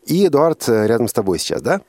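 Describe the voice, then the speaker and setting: A man's voice: mid-range voice, sing-song, fast talker, a little mellow, no echo. A man hosting a radio show from a studio, asking probing questions in a conversational style and rephrasing as he goes. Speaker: radio host and interviewer.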